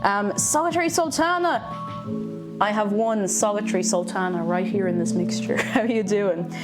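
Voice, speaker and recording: A young Irish woman from Tipperary, with a bit of Dublin in her accent, chatting casually with her live chat while she cooks, laughing often and greeting viewers in excited bursts. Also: medium pitch, moderate pace. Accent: Irish, from Tipperary with some Dublin. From Ireland. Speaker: streamer. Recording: clear background stream music. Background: background music playing.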